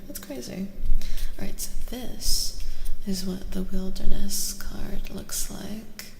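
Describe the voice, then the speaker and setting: A woman streaming a soft-spoken ASMR card reading, chatting casually and gently in a breathy voice that often drops to a whisper, repeating words soothingly. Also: mid-range voice, roomy sound, long reverb tail, a little hiss or hum. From Eastern Europe. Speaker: streamer host giving tarot readings.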